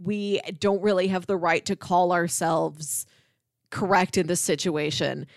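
A woman's voice: lowish voice, steady tone, unhurried, creaky, no room sound, studio quality. A woman co-hosting a casual film discussion, retelling things in imagined quotes as she goes. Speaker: podcast co-host.

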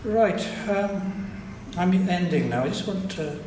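A man's voice: high voice, lively intonation, slow pace, darkish tone, slight background noise. An older man with a Welsh accent, a native Welsh speaker, giving a reflective public lecture with frequent restarts. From Wales. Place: lecture hall at a society event. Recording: echoey hall recording.

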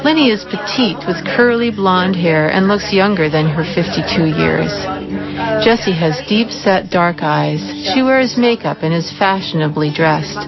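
A woman with a slightly low voice narrates a radio feature in a calm, measured, reflective way. The sound is a little muffled, with music running clearly underneath. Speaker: documentary narrator. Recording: muffled broadcast with music behind.

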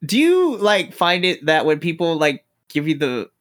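A man's voice: on the high side, big pitch swings, normal speed, fairly bright tone. A man hosting a casual podcast chat, asking questions in a self-deprecating way and laughing often.

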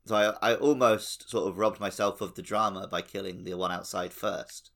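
Male podcast co-host, lowish creaky voice, informal conversational game talk. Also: steady tone, moderate pace, fairly bright tone. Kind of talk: casual video game discussion.

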